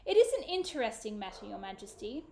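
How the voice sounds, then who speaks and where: A woman's voice: mid-range voice, sing-song, warm tone, reverberant, a little hiss or hum. A woman voicing a polite royal servant. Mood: deferential. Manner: polite, measured counsel. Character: deferential pot-bellied male royal servant.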